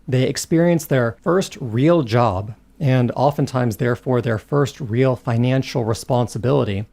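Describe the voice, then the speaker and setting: A man with a mid-range voice narrates a scripted video essay. He sounds measured and articulate, moving through long, carefully worded sentences. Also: mid-range voice, gravelly, mellow.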